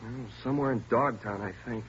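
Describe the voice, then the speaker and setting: An actor playing a frightened twenty-year-old petty burglar, stammering and whiny, in old radio drama style. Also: male voice, medium pitch, fairly quick, lightly acted. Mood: nervous confessing. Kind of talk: 1950s radio drama acting. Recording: dull, lightly noisy old radio recording.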